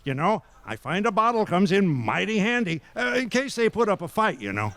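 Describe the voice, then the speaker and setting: Man playing a high-pitched, stammering young fiancé. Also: very animated, a little fast, fairly bright tone, exaggerated voice. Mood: nervous, eager. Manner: stammering, sputtering. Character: flustered, hapless young fiancé, eager but blundering. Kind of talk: comic radio play acting.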